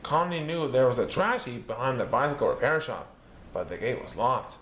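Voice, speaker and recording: A man reading a children's picture book aloud, lifting his voice high for the characters' lines. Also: brisk. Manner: lively, raising his pitch for characters' lines. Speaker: storybook reader. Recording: echoey room with faint background noise.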